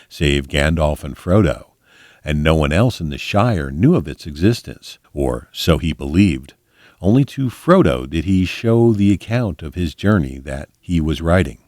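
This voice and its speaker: A man reading a fantasy book aloud in an expressive audiobook style, with measured, dramatic storyteller phrasing. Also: sits low, no room sound, studio quality. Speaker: volunteer storybook reader.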